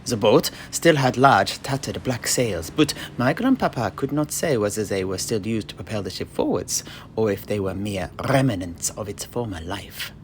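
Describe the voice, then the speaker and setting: A man telling a fantasy tale in character, theatrical and florid, often dropping to a hush at phrase endings. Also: fairly low pitch, lively intonation, moderate pace, slight background noise. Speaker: in-character storyteller at a fantasy tavern. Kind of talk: dramatic in-universe fantasy narration.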